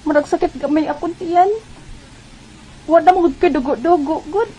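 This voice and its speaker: A woman's voice: extremely high pitch, moderate pace, mellow, low-quality recording. A woman playing a naive, plaintive and stubborn teenage daughter in a Bisaya radio drama, with a Cebuano Filipino accent. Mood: weary, puzzled.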